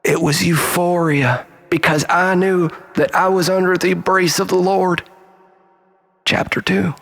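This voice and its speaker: A man performing a theatrical first-person monologue as a storyteller, melodramatic, swinging between exclamation and breathy hush. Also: high voice, little pitch movement, unhurried.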